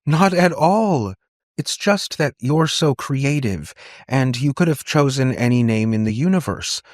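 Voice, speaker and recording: A man's voice: mid-range voice, lively intonation, a little slow, no room sound, pitched above the performer's own voice, close to an everyday voice. A man acts out an anxious, conciliatory aunt for an audiobook. She speaks tentatively, eager to connect, lifted above the narrating voice and recorded close and dry. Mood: pleading. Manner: tentative, placating. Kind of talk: audiobook character dialogue.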